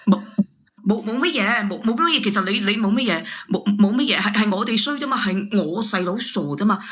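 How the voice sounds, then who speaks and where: A woman's voice: medium pitch, expressive, a little fast, breathy edge. A woman with a Hong Kong Cantonese accent arguing as a caller, indignant, accusing and insistent. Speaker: caller airing a family dispute. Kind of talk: heated argument.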